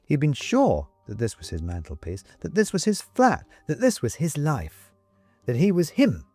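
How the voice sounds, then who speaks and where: Male audiobook narrator, wry and deliberate, very expressive mid-range pitch, dry recording over soft music. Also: slightly rough, no echo. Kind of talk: scripted dramatic storytelling.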